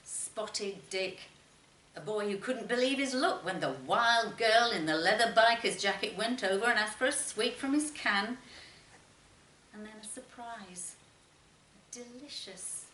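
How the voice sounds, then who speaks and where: A woman narrates a short story in a bright voice with a dramatic, measured delivery. She sounds a little distant from the microphone, in a roomy space with faint noise behind her. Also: a little high, expressive. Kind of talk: expressive literary story narration.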